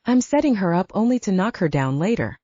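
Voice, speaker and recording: A woman voicing an anxious, affectionate young woman writer in an expressive, wry, exasperated audiobook style. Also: medium pitch, brisk, no room sound, highs cut off. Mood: worried, resigned. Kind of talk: audiobook dialogue acting.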